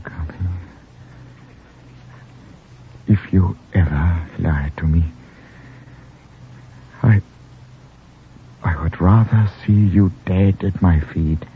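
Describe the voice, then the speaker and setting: An actor playing a jealous, menacing German spy in a 1950s radio drama, intense and swinging between coaxing and threat. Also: male voice, sits low, a little hiss or hum. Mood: possessive, brooding. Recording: muffled 1950 radio recording with music.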